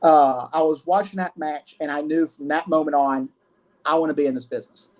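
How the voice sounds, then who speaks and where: Earnest young man, halting restarts. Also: a little high, fairly even intonation, a little mellow. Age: 20s. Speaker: wrestling commissioner guest. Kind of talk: casual interview.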